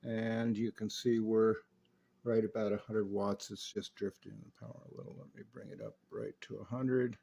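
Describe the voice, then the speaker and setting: A man's voice: lowish voice, level tone, normal speed, gravelly, mellow. A man giving an unscripted, hands-on technical demonstration of equipment, explaining each step methodically. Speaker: radio restorer demonstrating equipment.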